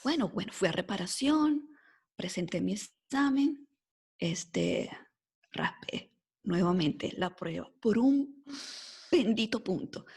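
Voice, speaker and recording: A Venezuelan woman in her forties with a mixed accent softened by life in the United States, telling her story as a podcast guest in a lively way, full of fillers like "este" and "o sea". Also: mid-range voice, takes it slowly, slightly rough. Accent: mixed Venezuelan Spanish, softened by years in the US. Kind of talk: casual storytelling interview.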